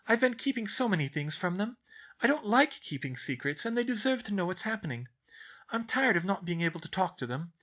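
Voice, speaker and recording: A man's voice: high-pitched, very animated, normal speed, bright, forceful tone, voice pushed up, full character voice. A man voicing an earnest, anxious young schoolgirl who speaks politely and hesitantly.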